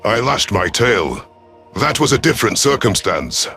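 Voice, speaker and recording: A man narrating a sci-fi story in character as a stern, calculating alien commander, formal and deliberate, over music. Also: mid-range voice, big pitch swings, creaky, pushed and bright.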